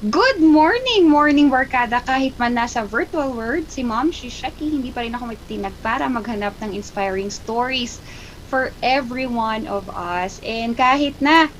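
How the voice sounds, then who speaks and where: A woman's voice: a little high, animated, normal speed, highs cut off, slight background noise. A woman with a Filipino accent hosting a morning show, chatting playfully in mixed Filipino and English as she interviews a guest.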